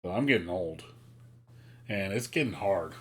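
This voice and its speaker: A man's voice: low voice, expressive, normal speed. A man in his late thirties, talking to viewers on his live stream in a candid, rambling way. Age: late 30s. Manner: candid, rambling, self-critical.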